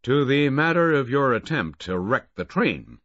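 A businesslike middle-aged official, as a narrator puts him on, raised above the reader's own low register. He talks in a formal, measured way with a husky edge. The sound is dry and a bit muffled. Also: very animated, dry recording. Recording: dull lo-fi, dry close audio.

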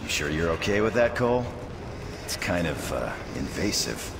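A man playing a steady, gruff special forces captain with an authoritative manner in a video game. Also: rumbling low, moderate pace, background noise, heavily acted. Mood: calm, low. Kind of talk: dramatic video game acting.

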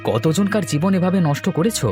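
A man's voice: sits high, brisk, mellow, soft background music, natural voice. Man playing a wounded, jilted young man. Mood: indignant, hurt. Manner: reproachful, wounded. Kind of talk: melodramatic cartoon acting.

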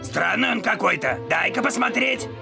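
A man's voice: high voice, lively intonation, normal speed, full character voice. A man voicing a bossy, sly young caterpillar bully in a children's cartoon, brash and scheming. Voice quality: creaky. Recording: music under the voice. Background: music.

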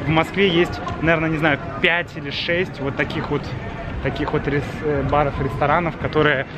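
A young man hosting a vlog, chatting excitedly on a city street and in a restaurant, filmed at arm's length. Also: on the high side. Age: young adult. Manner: excitable, exclaims loudly, explains slowly. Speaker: vlog host, Russian teacher. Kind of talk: casual chat.

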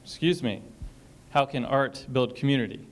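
A man's voice: mid-range voice, moderate pace, slight background noise. A man speaking on a college panel in an auditorium, explaining a program with frequent ums and reading a short passage aloud. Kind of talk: panel discussion talk.

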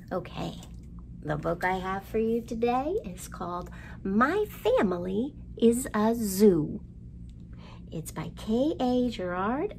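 A middle-aged woman leads a children's storytime in an expressive, sing-song way. She takes her time over each phrase, and her mid-pitched voice has a gravelly fry. Some background noise of the room sits behind her. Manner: slow, expressive, sing-song delivery addressed to small children. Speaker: children's library storytime host. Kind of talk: storytime rhymes and picture book reading for young children. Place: indoors in a library room.

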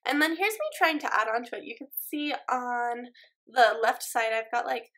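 A woman's voice: on the high side, moderate pace, a bit bright. A young woman with a stuffy nose, chatting casually over her game footage in a rambling way, full of ums and likes. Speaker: Sims speed-build YouTuber.

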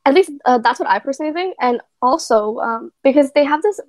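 A woman's voice: high-pitched, lively intonation, fairly quick, no room sound. Thoughtful woman with a dry, close voice. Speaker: podcast guest. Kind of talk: casual podcast discussion.

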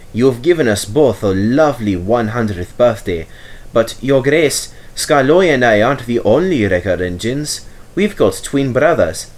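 A man voicing a modest, hundred-year-old male steam engine giving a polite, nervous speech in a storybook reading. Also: on the low side.

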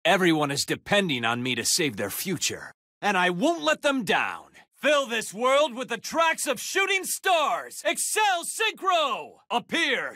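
A man's voice: very high voice, bright, forceful tone, no room sound, clean studio sound, heavily acted. An actor playing a heroic, determined young male card duelist in an anime video game, with intense, dramatic declarations. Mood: resolute. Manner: intense, dramatic declarations, drawn-out attack calls.

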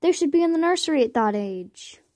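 A woman's voice: high-pitched, animated, a little fast, warm tone. A woman voicing a male warrior cat in an amateur cartoon audition. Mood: earnest. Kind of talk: amateur voice audition line reading.

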